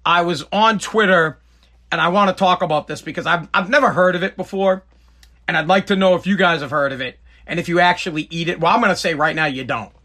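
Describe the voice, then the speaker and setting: A man's voice: high-pitched, fast, sharp tone. A man, hosting a live podcast in a blunt, profane rant. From Boston area, New England. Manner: ranting, blunt, profane, repeats himself. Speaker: podcast host.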